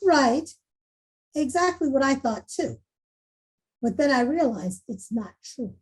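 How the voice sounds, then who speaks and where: Woman playing an earnest, high-voiced, animated school principal. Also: takes it slowly. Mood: reflective, candid. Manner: declarative, rousing speech-making. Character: earnest, determined female school principal rallying staff and students. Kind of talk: virtual table read of a screenplay.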